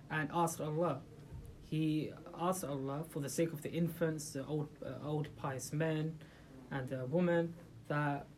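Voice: medium pitch, a little mellow, a little hiss or hum, low-quality recording. A speaker giving an informal religious talk to a small gathering in a room, asking the listeners rhetorical questions and often restarting sentences. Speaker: religious talk leader for a group.

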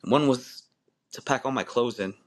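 A man's voice: mid-range voice, normal speed. A man in his forties, a guest on a podcast, telling his story in a loose, rambling way with many restarts and easy laughs. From born in Malaysia, raised in the United States. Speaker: wrestling referee, podcast guest. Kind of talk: casual interview storytelling.

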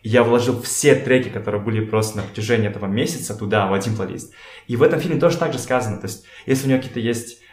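A man talking casually as a podcast guest, telling things in a halting, slangy way and often repeating words. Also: mid-range voice. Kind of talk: casual conversational storytelling. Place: in a room at a home. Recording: echoey room.

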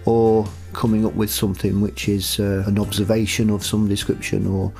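Man, low voice, explanatory and conversational, writer in a relaxed interview, music behind. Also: normal speed, gravelly. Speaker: audio drama writer. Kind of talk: casual interview chat.

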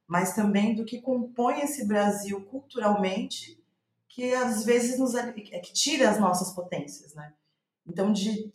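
A Brazilian woman speaking as an interviewed playwright, reflecting in conversation and circling back to rephrase as she thinks aloud. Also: on the high side. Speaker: guest playwright. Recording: roomy, echoing voice recording.

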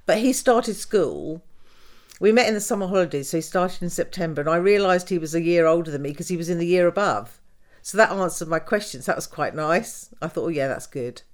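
An older woman, telling the story of her life in a casual interview, with soft laughs and fillers. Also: on the low side, animated, a little fast. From Canada. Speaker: guest, host's mother.

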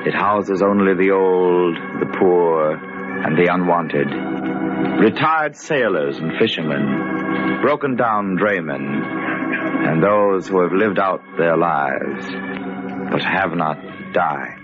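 A man's voice: sits low, slow pace. A man narrating an old-time radio horror show in a dramatic, solemn, ominous manner. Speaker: narrator and announcer. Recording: muffled old radio, loud organ music.

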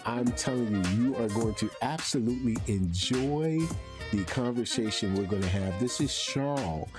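A man hosting an upbeat conversational interview, effusive in manner, with a husky voice. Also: mid-range voice, normal speed, music in the background. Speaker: show host interviewing a guest.

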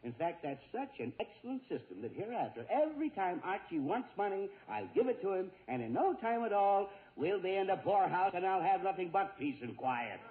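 A harried middle-aged father with a highish, very animated voice. He is exasperated and bitingly sarcastic in radio comedy style. It sounds like a muffled 1940s broadcast. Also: brisk, few pauses.

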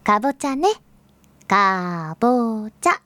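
A woman playing a gentle, patient mother explaining things to her small child in an audio drama. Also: medium pitch, expressive, slow pace, no room sound. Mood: patient, tender. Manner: soft-spoken explaining, patient.

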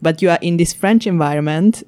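A woman's voice: on the low side, fairly quick, mellow. A young woman co-host raised in Hungary, talking thoughtfully in a casual interview with slightly non-native grammar.

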